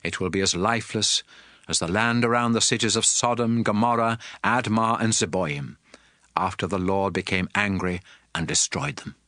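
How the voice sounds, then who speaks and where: A man's voice: lowish voice, normal speed, sharp tone, no room sound, clean studio sound. An actor playing a solemn Hebrew prophet addressing his nation in earnest oratory for a dramatized Bible. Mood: stern warning. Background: music.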